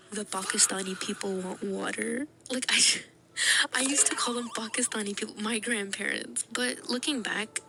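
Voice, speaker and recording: A young adult woman telling stories to camera in a casual, animated way, with frequent laughs and fillers. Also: mid-range voice. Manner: animated and giggly, with many fillers. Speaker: vlogger. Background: background music.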